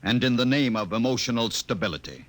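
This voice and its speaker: An actor playing a cold, menacing director of a totalitarian state in a 1950s radio drama, speaking formally and with measured authority. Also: male voice, mid-range voice, animated, fairly bright tone, soft background music, close to an everyday voice. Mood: icy, sardonic.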